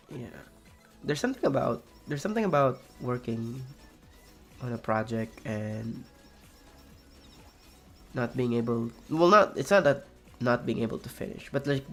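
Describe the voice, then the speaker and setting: A young man with a raspy, gravelly voice talks loosely while he plays, trailing off and restarting his sentences. His pitch sits in an ordinary middle range. Faint game music plays underneath. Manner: rambling, soft-spoken, many fillers and restarts. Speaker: streamer. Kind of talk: casual chat while playing a game.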